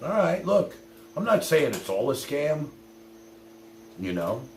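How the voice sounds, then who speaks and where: A man's voice: fairly high pitch, sing-song, takes it slowly, a little mellow. A middle-aged man chatting casually on a livestream, telling personal stories in a blunt, rambling way with some swearing. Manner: blunt, rambling, peppered with swearing and "you know". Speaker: livestream host. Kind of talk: casual chat, personal storytelling to live viewers. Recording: roomy echo with faint hum and noise.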